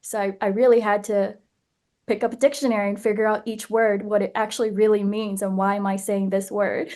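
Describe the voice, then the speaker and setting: A woman's voice: medium pitch, little pitch movement, fairly quick, darkish tone. A young woman who grew up in the United States, chatting casually as an interview guest, laughing often and restarting her phrases. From born in Vietnam, grew up in Nashville, USA. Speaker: guest actress.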